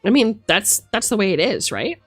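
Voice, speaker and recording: A woman's voice: mid-range voice, sing-song, normal speed, breathy edge, no room sound. A woman streamer and game master delivering a casual, opinionated rant, emphatic, theatrical and sweary, close on the microphone. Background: music.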